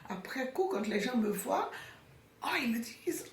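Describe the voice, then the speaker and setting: A woman from Haiti with a Swiss French accent and a faint Haitian trace, speaking slowly and thoughtfully in a documentary interview. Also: on the high side, sing-song, darkish tone. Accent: Swiss French with a slight Haitian trace. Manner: slow, hesitant, thoughtful. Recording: echoey, distant mic.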